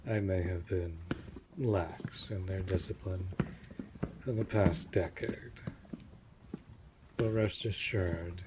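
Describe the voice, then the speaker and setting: A man playing a protective, commanding vampire master in an audio roleplay, speaking in a formal, measured, authoritative way. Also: sits low, heavy background noise. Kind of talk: scripted audio roleplay acting.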